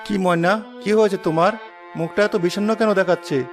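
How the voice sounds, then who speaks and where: An actor voicing a poor, gentle rickshaw-puller husband in a cartoon, consoling and philosophical. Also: male voice, high-pitched, steady tone, brisk. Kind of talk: Bengali cartoon moral-story acting. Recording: music behind the voice.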